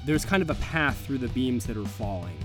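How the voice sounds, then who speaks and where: A man's voice: mid-range voice, lively intonation, fairly quick. Man narrating a tabletop game over music. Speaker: game master. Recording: music bed under the voice.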